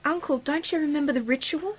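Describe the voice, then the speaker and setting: A woman's voice: sits high, fast, natural voice. A woman playing a curious, sceptical young niece in an amateur science fiction radio play, speaking in a questioning, matter-of-fact way. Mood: insistent, practical.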